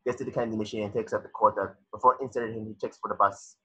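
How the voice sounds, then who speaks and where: A man's voice: fairly low pitch, steady tone, rapid-fire, sharp tone, husky, poor audio. A man narrating a cartoon script's stage directions in a matter-of-fact way, sometimes stumbling over the words. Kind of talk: reading a cartoon script aloud.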